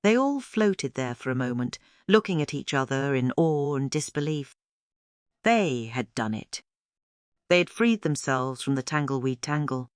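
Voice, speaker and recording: A woman's voice: extremely low pitch, big pitch swings, measured pace, clean tone, dry recording, clean studio sound. A woman reading a scripted children's story aloud as its storyteller.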